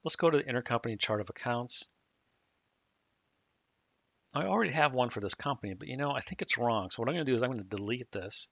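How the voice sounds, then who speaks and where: Man giving a dull, dry software walkthrough. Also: medium pitch, lively intonation, fast talker, vocal fry, pauses often, no echo. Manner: plain, step-by-step explaining. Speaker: software trainer. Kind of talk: screen-recorded software tutorial. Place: at a computer, voice-over on a screen recording. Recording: dull dry mic audio.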